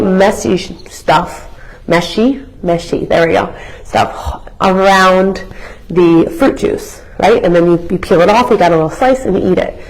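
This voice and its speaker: A young woman with a raspy voice, hoarse as she recovers from being sick. She leads a live workout class as a fitness instructor, explaining things in a chatty, educational way. She often restarts her sentences and uses fillers as she talks. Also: mid-range voice, darkish tone, poor audio. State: hoarse from illness.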